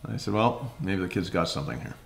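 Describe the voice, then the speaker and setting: Low, gravelly middle-aged Canadian man. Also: expressive, fast talker. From Ottawa, Canada. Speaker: investor and mentor being interviewed. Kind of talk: casual interview storytelling. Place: home kitchen, indoors.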